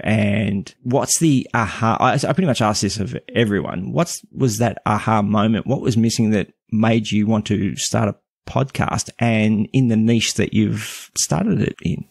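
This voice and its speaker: A man's voice: lowish voice, unhurried, vocal fry, few pauses, dry recording, clean studio sound. A man hosting a podcast interview in a casual, rambling way with many restarts. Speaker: podcast host.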